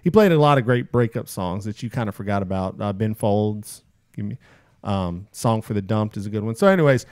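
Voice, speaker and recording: A man's voice: on the low side, expressive, brisk, darkish tone, dry recording, studio quality. A man hosting a casual podcast, upbeat as he reads out listener posts and plugs. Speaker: podcast host.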